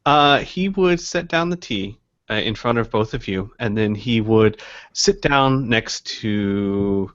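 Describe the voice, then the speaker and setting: A man's voice: fairly low pitch, slow pace, a bit bright, no echo, dull audio. A man improvising narration and questions as game master of a tabletop role-playing game.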